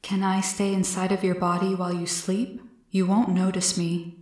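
A woman voicing a gentle, curious, wistful male alien in a story, with slow, measured, formal phrasing, over a noisy background. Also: mid-range voice, steady tone, roomy sound, natural voice. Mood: hushed, curious.

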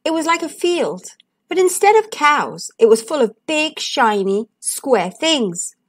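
Female children's storybook narrator: high, lively intonation, expressive and deliberate. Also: no echo, clean studio sound. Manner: expressive, deliberate emphasis for young listeners. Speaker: storyteller and host.

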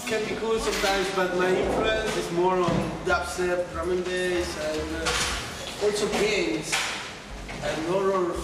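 A man in his twenties from Argentina, answering interview questions in a relaxed, slangy way and laughing easily. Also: high-pitched, measured pace. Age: 20s. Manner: laid-back, laughs easily, loose slangy phrasing. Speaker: DJ and producer. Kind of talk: casual interview answers in English. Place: small dark room at a club. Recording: echoey room, distant mic, loud music behind.

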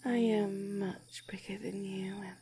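A woman voicing a cruel, hungry giant snake woman in a teasing ASMR roleplay, breathy and whispery, savoring and gloating. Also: mid-range voice, level tone, noisy background. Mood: menacing, teasing. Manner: slow, teasing, gloating, savoring each phrase.

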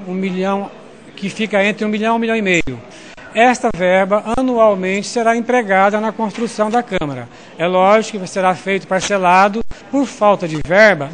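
Brief man in his 60s, Brazilian accent, high voice, assured explainer, handheld mic in a hall. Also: moderate pace. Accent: Brazilian Portuguese. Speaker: council president. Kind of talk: interview answer. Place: council chamber indoors. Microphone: handheld reporter mic.

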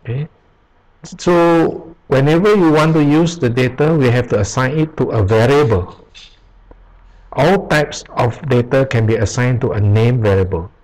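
A man walks through programming basics in a screen-recorded tutorial, reading slowly from web pages. He talks at a leisurely pace in a mid-pitched voice. He often pauses and restarts as he goes. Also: darkish tone, poor audio. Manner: slow, halting, reads aloud with pauses and restarts. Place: at a computer, voice-over on screen capture.

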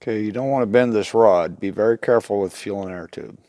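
A man in his fifties giving a casual, plainspoken, step-by-step repair tutorial at an unhurried, instructional gait. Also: fairly low pitch, dark tone, a little hiss or hum. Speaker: instructor demonstrating lantern repair. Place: indoor wooden workshop at a workbench.

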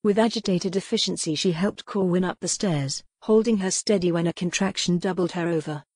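A woman reads dramatic fiction as an audiobook narrator. Her voice sits a little low and has a husky grain. The recording is very dry. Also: fairly quick, a little mellow, dry recording.

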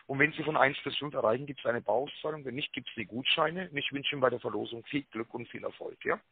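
A man giving a rehearsed telephone sales pitch, full of tag words and hesitations, with a raspy voice. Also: fast, a little hiss or hum. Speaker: telemarketing caller pitching a prize draw. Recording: narrow tinny phone line.

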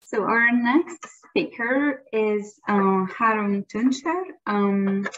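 A woman's voice: mid-range voice, slow, a bit bright. Polite woman moderating over video call. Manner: polite, slightly apologetic. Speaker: panel moderator. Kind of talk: moderating a conference panel. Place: office with shelves. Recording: muffled video call audio.

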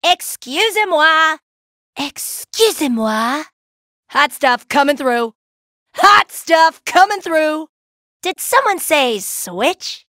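Brash young female cartoon game fighter, cheeky, slangy, laid-back, very animated intonation, unhurried drawn-out delivery. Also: high-pitched, dry recording, studio quality, exaggerated voice. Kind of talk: cartoon video game voice acting, short barks.

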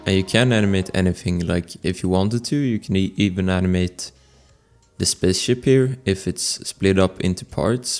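Deep gravelly man narrating a tutorial. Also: darkish tone. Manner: informal step-by-step explaining. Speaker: tutorial presenter. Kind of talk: casual software tutorial narration. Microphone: close desktop microphone voice-over. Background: music.

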